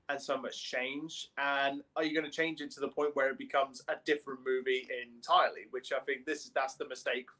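A man's voice: mid-range voice, a bit bright. A young man co-hosting a podcast, chatting in an informal, tentative way. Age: young adult. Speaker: podcast co-host. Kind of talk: casual discussion. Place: indoor room at home, on video call.

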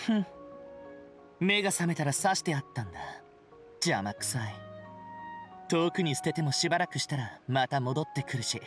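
A man's voice: fairly high pitch, lively intonation, normal speed, long pauses, a bit bright. An arrogant, sarcastic young man in an anime game. His delivery is dismissive and sneering, with faint music behind him. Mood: scornful. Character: haughty, sarcastic, sharp-tongued young male wanderer. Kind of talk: Japanese anime game acting.

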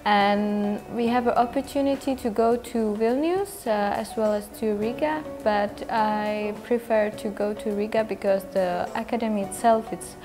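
A young woman from the Republic, an exchange student giving measured interview answers and pausing to find her words. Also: medium pitch, over music. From Czech Republic. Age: young adult. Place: indoor room with wooden cabinets.

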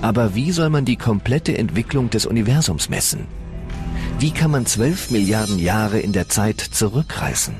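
A man narrating a science documentary in a calm, measured way, recorded as a studio voice-over. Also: lowish voice. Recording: voice-over with music underneath. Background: music bed.

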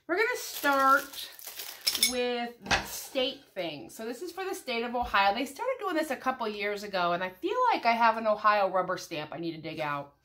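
Middle-aged woman, American accent, forceful bright tone, fairly high pitch, congested with a cold, casual rambling vlogger chat. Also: big pitch swings, moderate pace, slight background noise. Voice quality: slightly stuffy, congested. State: has a head cold. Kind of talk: casual chatty shopping haul. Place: small home craft room, indoors.

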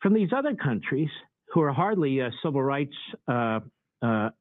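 A man's voice: mid-range voice, very animated, normal speed, dry recording. Older male TV host, indignant interviewer, tinny dry broadcast sound. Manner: indignant, pointed questioning. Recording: tinny broadcast audio re-recorded.

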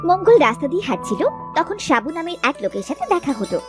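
A woman's voice: sits high, very animated, quick pace, music in the background. A woman narrator telling a scripted cartoon folk tale.

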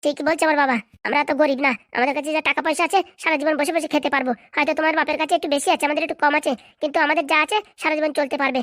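A woman's voice: high-pitched, fairly even intonation, rapid-fire, few pauses, a bit bright, dry recording, clean studio sound. A woman playing an aggrieved, stubborn young wife, argumentative and emphatic, in a comic cartoon. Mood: angry, accusing. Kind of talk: comic cartoon drama dialogue.

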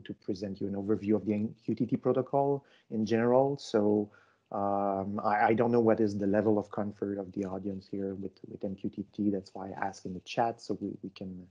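Gravelly man presenting online. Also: lowish voice, warm tone. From Canada. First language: French. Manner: halting, many fillers and restarts. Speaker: conference presenter. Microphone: video call microphone. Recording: dull lo-fi call audio.

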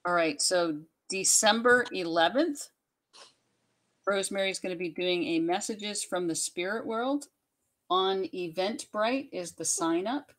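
A woman's voice: medium pitch, a little slow, a bit bright. A woman co-hosting a casual chat, passing on viewers' questions and announcements. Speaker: co-host relaying viewer questions.

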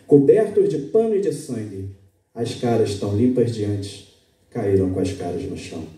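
A Brazilian man with a low, very dark and mellow voice speaks at an open-mic poetry night. He talks easily with plenty of fillers before reciting. He sounds distant and echoey, caught by a camera across the auditorium. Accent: Brazilian Portuguese. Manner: relaxed, talks with many fillers, then recites steadily. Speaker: poet. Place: small auditorium stage, indoors. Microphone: stand mic, recorded by a far camera.

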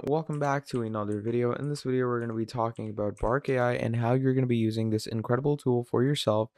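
A man giving a casual screen-recorded software tutorial, filling his talk with "obviously" and "you're gonna". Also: medium pitch, no echo, studio quality. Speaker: tutorial presenter.

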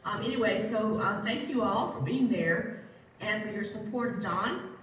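Hoarse woman professor, halting delivery, lo-fi call audio in a roomy hall. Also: medium pitch, distant mic. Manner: halting, with many fillers. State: losing her voice. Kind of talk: casual remarks. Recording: dull call audio played into an echoey church.

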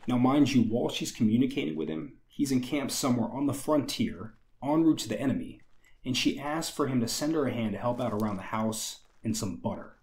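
A young man with a slightly raspy, hoarse voice, hosting a history channel and narrating from a script with wry conversational asides. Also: medium pitch, a little fast, darkish tone, rough recording. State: recovering from illness, slightly hoarse.

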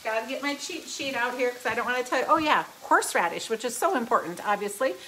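Bright chatty woman cooking at home. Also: on the high side, animated, fast talker, a little hiss or hum, low-quality recording. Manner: chatty and upbeat, explaining steps while cooking. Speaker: home cook hosting a live cooking stream. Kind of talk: casual cooking demonstration. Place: home kitchen, indoors. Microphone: phone camera, often held close, sometimes across the kitchen. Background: sizzling pan.

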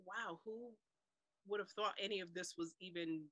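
A woman's voice: fairly low pitch, normal speed, dull audio. A middle-aged woman guest on a video-call interview, telling her story animatedly, laughing and acting out her doubts. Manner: animated storyteller, laughs often, acts out inner voice. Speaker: guest author. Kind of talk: conversational interview. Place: room with blue cloth backdrop. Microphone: webcam on video call.

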